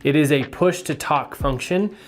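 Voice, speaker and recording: A man's voice: fairly high pitch, normal speed. A man giving a casual, hands-on product review in a plain-spoken, explanatory way.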